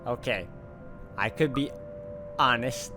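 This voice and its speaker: A man's voice: mid-range voice, takes it slowly. Male game player, mid-range voice, reading story narration aloud, prominent background music. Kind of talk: reading visual novel narration aloud, with casual asides.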